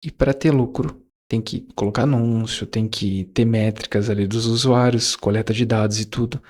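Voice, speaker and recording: A man's voice: fairly low pitch, steady tone, normal speed, darkish tone. A man, talking casually to his viewers in a reflective monologue, rambling with fillers and self-corrections. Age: 20s. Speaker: YouTuber.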